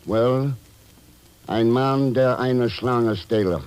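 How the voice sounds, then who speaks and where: An actor playing a cool, commanding secret agent in a 1940s radio spy drama, speaking in a clipped, wry way. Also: male voice, medium pitch, slow pace, darkish tone, clear, resonant tone, lightly acted.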